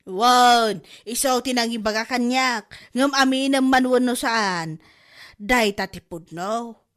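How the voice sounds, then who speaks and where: A woman acting a scolding mother in a comedy drama who talks in loud nagging exclamations. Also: fairly high pitch, slow. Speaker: Nana, a mother.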